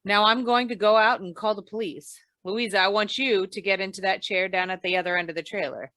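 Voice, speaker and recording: A woman's voice: medium pitch, fairly even intonation, a little fast, sharp tone, no echo, natural voice. A woman playing a hard-boiled, wisecracking insurance investigator in an old-time radio noir, with a dry, clipped delivery. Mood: commanding, wary.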